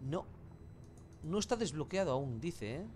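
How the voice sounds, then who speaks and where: Male gamer, Spain accent, slightly high voice, casual commentary, colloquial, noise behind him. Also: very animated, a little slow, darkish tone. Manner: colloquial, mild swearing. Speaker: gamer, let's play host.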